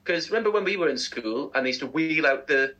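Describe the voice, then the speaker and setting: A man's voice: fairly high pitch, fast talker, husky, dull audio. A man in his forties co-hosting a casual breakfast radio show, ranting and laughing as he talks. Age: 40s. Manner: ranting, animated, laughs often. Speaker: radio co-host.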